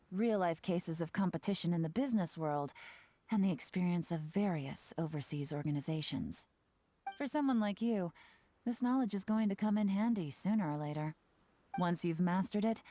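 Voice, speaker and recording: A woman voicing a shrewd, playful young spymaster in a video game, teasing and self-assured, with a smooth delivery. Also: on the low side, mellow, natural voice. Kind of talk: scripted video game character dialogue. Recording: game music behind the voice.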